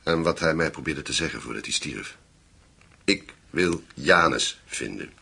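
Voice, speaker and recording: An actor playing a determined journalist hunting for answers in a radio drama, with a gravelly voice. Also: male voice, very deep voice, expressive, unhurried, sharp tone, full character voice. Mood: grim, determined. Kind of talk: Dutch radio drama acting.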